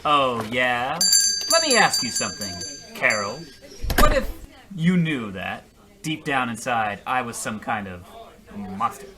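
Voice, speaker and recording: An actor playing a self-dramatizing, attention-hungry loser in a comic radio play, rambling and melodramatic. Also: male voice, mid-range voice, sing-song, unhurried, crowd noise. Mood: hammy, eager. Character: attention-hungry, self-dramatizing male loser telling his story in a diner. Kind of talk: comic radio play acting in old radio show vein.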